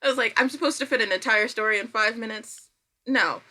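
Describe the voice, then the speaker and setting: A woman chatting casually as a podcast guest, telling self-deprecating stories and acting out her own thoughts in an animated way. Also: on the high side, fairly quick. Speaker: podcast guest, writer and creator. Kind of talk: casual chat, anecdotal storytelling.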